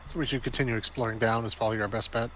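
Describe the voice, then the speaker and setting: A man's voice: mid-range voice, steady tone, rapid-fire, a bit bright, poor audio. A man talking casually as a player in a tabletop roleplaying game. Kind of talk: casual chat. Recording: faint background noise.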